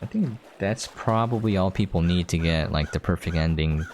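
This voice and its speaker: Low-voiced man casually commenting on a game. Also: normal speed, a little mellow. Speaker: gaming video host. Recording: clear background noise.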